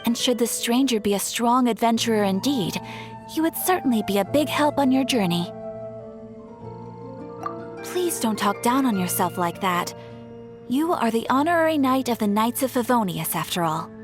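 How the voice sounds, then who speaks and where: A woman's voice: on the high side, expressive, normal speed, music in the background, lightly acted. A woman playing a courteous, helpful young guild receptionist in an English game dub, speaking politely and formally with encouragement. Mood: polite, encouraging.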